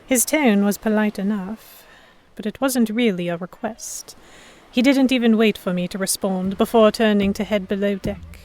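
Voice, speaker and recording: A woman's voice: mid-range voice, normal speed, a little mellow, natural voice. A woman playing a defiant, sheltered young lady held by pirates, narrating an audio drama in first person with articulate, literary phrasing. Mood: tense narration. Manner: articulate, literary, reflective storytelling.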